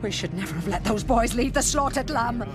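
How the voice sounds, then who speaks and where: A woman's voice: on the high side, expressive, brisk, lightly acted. A woman playing an anxious, urgent villager with a Yorkshire accent in a radio drama. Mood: fearful, urgent. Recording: music behind the voice.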